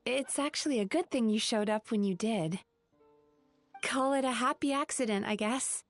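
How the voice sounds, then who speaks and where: Polite, earnest young spirit girl for a fantasy game, higher-pitched voice woman acting. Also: normal speed, dry recording, faint music behind, lightly acted. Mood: explaining. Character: polite, earnest female dream-eating tapir spirit, young therapist.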